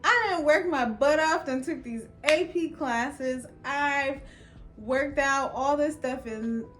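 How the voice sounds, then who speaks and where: A young adult woman, a lawyer from Los Angeles whose first language was Jamaican Patois, tells her own story in a casual, expressive way and acts out the lines of people she quotes. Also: high-pitched, takes it slowly. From Los Angeles, California. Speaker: attorney. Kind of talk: personal storytelling.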